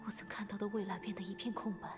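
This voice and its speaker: A woman voicing a solemn, fatalistic older sister and seer in an anime-style game, with grave, measured delivery. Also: medium pitch, level tone, over music, close to an everyday voice. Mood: somber, doubtful. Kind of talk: scripted anime game voice acting.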